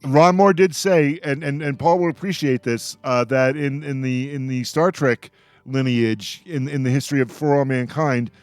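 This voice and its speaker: A man hosting a casual roundtable radio discussion, speaking in a halting way and repeating words as he goes. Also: medium pitch, steady tone, darkish tone, no echo. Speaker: radio panel host. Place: radio show.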